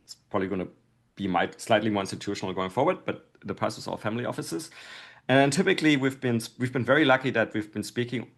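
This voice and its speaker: A man's voice: medium pitch, quick pace, vocal fry. A middle-aged man speaking casually and thoughtfully as an interview guest, often dropping "like" into his sentences. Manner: thoughtful, hedging with "like". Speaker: podcast guest, investor.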